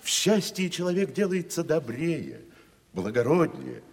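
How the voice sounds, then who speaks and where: Grandiose stage tragedian, mock-solemn, wildly swooping delivery, reverberant studio. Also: male voice, a little high, sing-song, a little slow, creaky, heavily acted. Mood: mock-festive. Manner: theatrical, declamatory, mock-solemn. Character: grandiose wandering tragic actor, man, middle-aged. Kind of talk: 1970s studio radio play.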